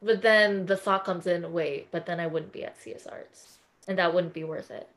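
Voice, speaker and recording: A woman's voice: on the low side, steady tone, moderate pace. A woman from California, a podcast guest talking in an effusive, earnest way in a casual interview chat.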